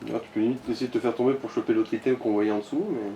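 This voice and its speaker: A man's voice: medium pitch, steady tone, racing, dark tone. A man casually commenting along as a companion during a video game session. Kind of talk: casual chat over gameplay. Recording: echoey room with faint noise.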